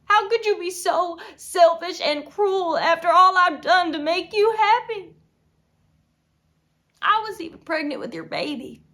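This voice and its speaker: A woman's voice: a little high, steady tone, a little slow, gravelly, a bit bright. A woman acting a desperate, tormented young farm wife in an intense dramatic film monologue. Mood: anguished, bitter. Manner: intense, swinging from whispers to anguished outbursts. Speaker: acting student.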